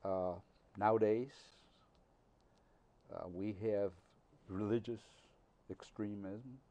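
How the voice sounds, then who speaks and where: An older man answering interview questions slowly and haltingly, pausing often with fillers. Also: on the low side, steady tone, warm tone. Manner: slow, halting, with many uh fillers.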